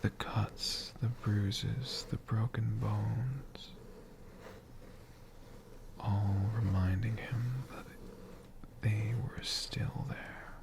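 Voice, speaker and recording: A storyteller whispering a tale for ASMR in a hushed, breathy voice with slow, dramatic pauses. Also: medium pitch, steady tone. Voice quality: breathy whisper with creaky edges.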